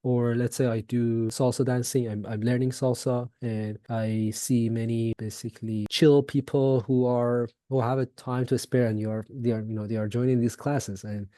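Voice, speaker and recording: A young man from Iran chats as a podcast guest, pausing often with fillers and restarts. His voice is mellow and fairly flat in tone. The recording is dry and close. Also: medium pitch, no echo. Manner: hesitant, many fillers and restarts. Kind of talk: casual interview chat.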